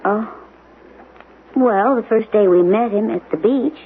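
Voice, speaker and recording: An actress playing a wife who responds in a gently skeptical, reassuring way. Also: female voice, medium pitch, unhurried, slight background noise, lightly acted. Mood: doubtful. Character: wife, warm yet doubtful. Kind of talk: 1970s radio drama acting. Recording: tinny old radio broadcast, heard from off-mic.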